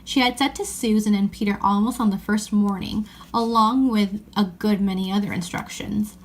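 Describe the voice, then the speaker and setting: A woman's voice: medium pitch, steady tone, normal speed. A woman narrating a children's story as an audiobook reading.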